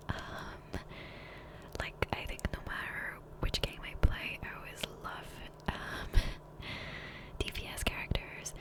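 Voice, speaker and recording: A woman speaking in a soft, breathy whisper, rambling casually and unhurriedly in an ASMR gameplay voiceover on a close microphone. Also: rough recording. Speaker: ASMR creator. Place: voiceover recorded separately from gameplay. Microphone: Blue Yeti USB microphone, close.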